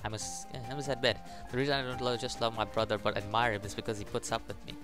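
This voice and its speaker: Teenage male gamer, eighteen, average pitch, casual stumbling narration of on-screen text over soft music. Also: fairly even intonation. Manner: halting reading, stumbles over words. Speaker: gaming YouTuber. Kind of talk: casual let's play, reading game text aloud with commentary.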